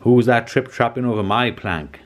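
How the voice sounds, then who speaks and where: Man putting on a blustering, high-pitched pirate chief. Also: normal speed, lightly acted. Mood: angry, jeering. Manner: commanding, exclamatory. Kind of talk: children's storybook character voice.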